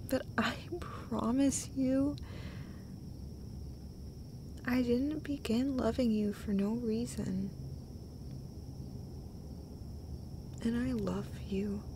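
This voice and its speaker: Slow-talking, tender girlfriend played by a woman. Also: a little high, background noise. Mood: loving, overwhelmed. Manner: halting, breathy phrases broken by small laughs. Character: tender, emotional, self-deprecating girlfriend comforting her partner. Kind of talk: intimate romantic audio roleplay monologue.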